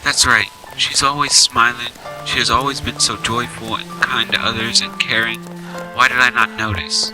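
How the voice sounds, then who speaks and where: A soft-hearted, thoughtful teenage boy, played by a man in an amateur radio drama. His mid-pitched voice is earnest and reflective. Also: level tone, moderate pace, over music, close to an everyday voice, low-quality recording. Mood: reflective, worried. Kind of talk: amateur anime-style radio drama acting.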